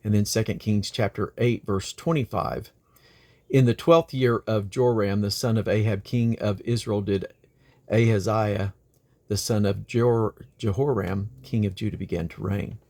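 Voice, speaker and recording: A man reading the Bible aloud in a deliberate, expressive way. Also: on the low side. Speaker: scripture reader.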